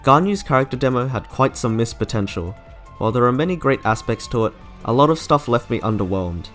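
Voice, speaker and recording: A man's voice: medium pitch, expressive, brisk, a bit bright, soft background music. A man narrating a scripted video essay as its host.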